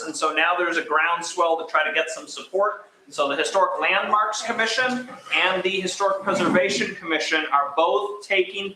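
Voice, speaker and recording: A man's voice: high voice, background noise. A man hosting a history roundtable meeting, chatting casually through announcements before introducing the speaker. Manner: relaxed, chatty, addresses the crowd as gang. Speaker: roundtable president and host. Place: meeting room with live audience. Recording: echoey room.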